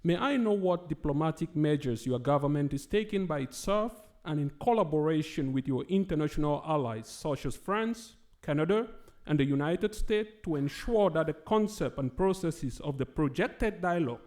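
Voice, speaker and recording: A man's voice: on the high side, lively intonation, normal speed, darkish tone. A young adult man, a student, asking a formally worded question from the audience at a public forum.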